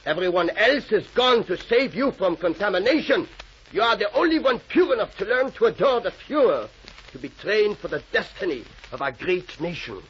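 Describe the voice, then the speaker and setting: An actor playing a stern, domineering Nazi German school official in 1940s radio drama, giving imperious, clipped orders. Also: male voice, high voice, lively intonation, moderate pace, fairly bright tone, husky, heavily acted. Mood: fanatical, insistent. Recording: muffled, noisy old radio recording.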